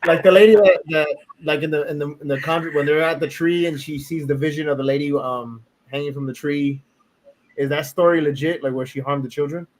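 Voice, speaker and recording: Man, podcast host, rambling questioner, voice a touch high. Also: fairly even intonation, rough recording. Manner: halting questions with many restarts and fillers. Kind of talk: casual chat.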